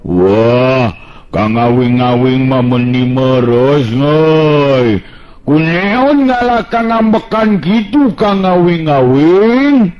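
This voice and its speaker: A man's voice: on the high side, animated, very slow, a little mellow. Man putting on a nasal, lisping village fellow. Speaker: storyteller voicing a character. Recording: dull lo-fi sound.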